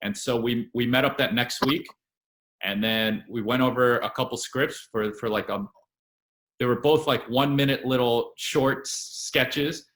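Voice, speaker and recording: A man in his late twenties, a guest actor, telling his story in a relaxed, steady way with a few fillers. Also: medium pitch, unhurried, echoey room. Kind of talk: casual interview chat.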